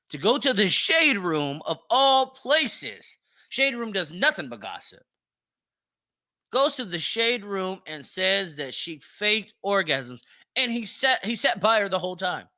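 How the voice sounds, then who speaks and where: A man's voice: high voice, bright, forceful tone, no echo, studio quality. A man hosting an opinionated solo commentary show, speaking bluntly and emphatically, swearing freely and repeating phrases for stress. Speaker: podcast host.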